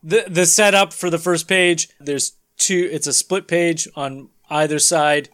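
Male podcast host, lighter higher register, casual comedic banter. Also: fairly even intonation, a little slow, fairly bright tone, smooth.

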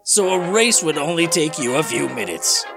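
A man's voice: fairly high pitch, big pitch swings, moderate pace, creaky, over music. A man playing an earnest, formal United Nations official in a comedic comic-book reading, speaking in a stilted, declamatory way.

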